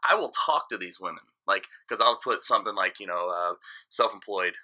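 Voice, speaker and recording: Dj guy late thirties, low voice, real bright tone, kinda rambly, frank. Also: a little fast. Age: 30s. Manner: frank, rambling, trails off and restarts. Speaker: DJ guest. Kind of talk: casual podcast chat.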